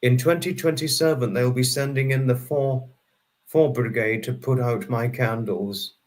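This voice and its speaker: A man's voice: medium pitch, steady tone, measured pace. A young man in his twenties hosting a casual livestream, chatting and answering viewer comments by name in a rambling, friendly way. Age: 20s. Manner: rambling, restarts number sums aloud. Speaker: livestream host.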